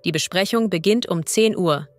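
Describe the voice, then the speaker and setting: A woman as a language teacher reading idioms and vocabulary slowly and clearly. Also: lowish voice, no echo, faint music behind. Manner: slow, clear and deliberate.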